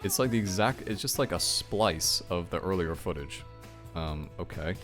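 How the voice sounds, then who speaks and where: A man's voice: sits low, animated, moderate pace, fairly bright tone, faint music behind. A man giving casual, rambling, off-the-cuff reaction commentary on video games, thinking aloud and speaking frankly. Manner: rambling, self-questioning, frank with some swearing.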